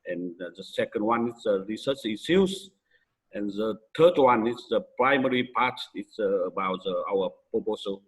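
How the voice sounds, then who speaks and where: A man from Vietnam with a Vietnamese accent gives an academic conference presentation in halting, hesitant English with frequent pauses. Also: on the low side, expressive, breathy edge, poor audio.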